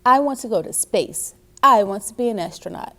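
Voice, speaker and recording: A woman's voice: on the high side, lively intonation, a little fast, fairly bright tone. A woman voicing a dreamy, eager little girl in a storybook, earnest and full of wonder. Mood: determined.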